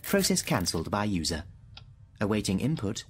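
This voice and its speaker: An actor playing an impassive, relentlessly literal thinking machine in a radio drama, speaking formally like a computer reciting data. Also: male voice, on the low side, very animated, moderate pace, husky. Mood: clinical reciting. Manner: formal, literal, repeating set phrases.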